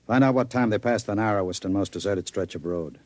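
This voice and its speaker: An actor playing a bossy, smooth-talking gangster with a sardonic, commanding manner in an old-time radio drama. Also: male voice, on the low side, fast, a little mellow, no room sound, natural voice.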